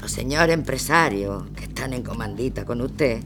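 Una mujer con acento andaluz interpretando a una líder obrera orgullosa y desafiante en una ficción sonora. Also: female voice, rumbling low, expressive, normal speed, music in the background. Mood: defiant. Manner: assertive, defiant. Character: bold, proud working-class woman protest leader. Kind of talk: audio drama acting.

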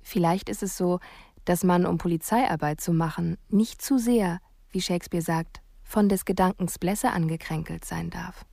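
An actress playing a sceptical police investigator, narrating a reflective, questioning inner monologue in a radio drama. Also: female voice, on the low side, normal speed, creaky, warm tone, dry recording, clean studio sound. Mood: brooding.

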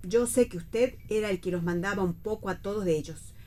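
An actress playing a disapproving, conservative middle-aged mother in radio theatre, with an Argentine accent and a sharp, reproachful manner. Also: medium pitch, brisk, close to an everyday voice. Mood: defensive, pointed.

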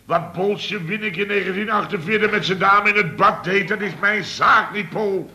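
An actor playing a blustering, flustered contractor boss in a radio comedy serial, stammering and repeating himself excitedly. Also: male voice, high voice, moderate pace. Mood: indignant.

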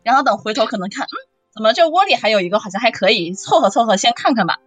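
Lively high-voiced woman, dry close sound. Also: very fast, no room sound. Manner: chatty, acts out imagined lines and quotes. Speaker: voice actor. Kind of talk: casual chat. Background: faint background music.

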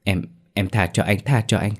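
A man's voice: fairly low pitch, normal speed, slightly rough. A man voicing a cowardly, guilty husband who trembles and pleads for mercy in a horror story. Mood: accusing.

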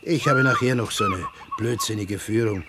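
A man's voice: medium pitch, wildly swooping pitch, moderate pace. A man playing a jaded, sardonic tour guide in a radio play, with an offhand, grumbling manner. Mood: weary, sardonic. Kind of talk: naturalistic radio play acting. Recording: very noisy outdoor ambience with birds.

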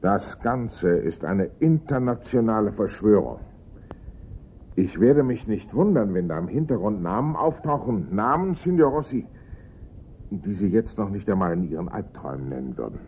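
An actor playing an ambitious, bossy French police inspector in a German radio drama, speaking in a grandiose, commanding way. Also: male voice, very animated, normal speed, a little hiss or hum. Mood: grandiose, confident. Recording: dull, rumbling old radio recording.

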